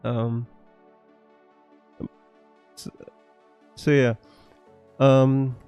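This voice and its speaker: A young man from Punjab with a blended accent that is hard to place talks loosely through a live stream, reading out comments and restarting his sentences. His voice is warm and mellow, sitting in the middle of the male range. Faint music plays underneath. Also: medium pitch. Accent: mixed, hard to place. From Punjab, India. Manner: chatty, frequent fillers and restarts, laughs often. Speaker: fantasy football streamer.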